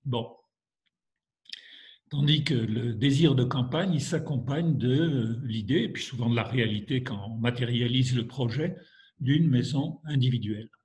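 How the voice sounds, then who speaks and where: A French man giving a measured academic lecture with many hesitations, stuffy from a head cold and coughing at times. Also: mid-range voice, darkish tone. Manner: measured, hesitant with frequent fillers. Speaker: university lecturer.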